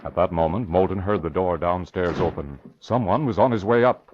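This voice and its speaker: A man with a very deep voice narrates an old radio thriller in a scripted, dramatic style. The vintage recording is muffled and clearly noisy. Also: a little fast.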